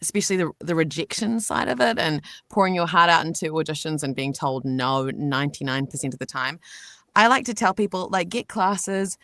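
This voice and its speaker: Low-voiced woman, giggly and rambling. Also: lively intonation, brisk, fairly bright tone, no echo, studio quality. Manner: giggly, rambling with many fillers. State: vocally tired. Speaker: voice actor guest. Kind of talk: casual video call interview. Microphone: home booth microphone.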